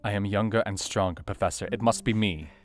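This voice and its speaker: An actor playing a grave young doctor, narrating his account in a measured, sombre manner for an audio drama. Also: on the low side, lightly acted. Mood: resolute. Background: soft guitar music.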